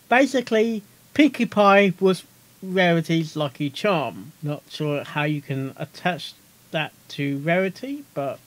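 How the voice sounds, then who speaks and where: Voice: mid-range voice, no echo. A reaction video host giving casual commentary, rambling, giggly and often repeating phrases.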